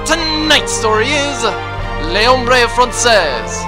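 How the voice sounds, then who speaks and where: High-voiced, grandiose woman narrator. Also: sing-song, very slow, over music. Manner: grandiose, theatrical. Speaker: show announcer and narrator. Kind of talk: dramatic scripted serial narration, old radio manner.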